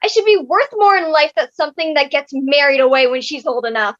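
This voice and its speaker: A woman's voice: high-pitched, brisk, lo-fi sound, natural voice. A young woman playing a chatty, independent-minded thirteen-year-old girl in a comic stage reading. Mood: wistful, frank.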